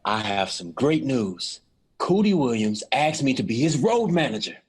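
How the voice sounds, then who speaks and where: A man playing an eager grown son seeking his father's attention in a period audio drama, with a husky voice. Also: mid-range voice, big pitch swings, moderate pace. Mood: eager, slighted. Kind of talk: period radio drama acting.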